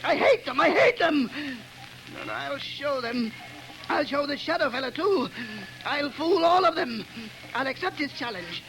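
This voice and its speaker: A man's voice: extremely high pitch, animated, unhurried, fairly bright tone, faint music behind, exaggerated voice, rough recording. An actor playing an unhinged male bomber who hates crowds, fussy and nervous, in 1930s radio drama style. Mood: obsessive. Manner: nervous, fussy, giggling, rising to frantic.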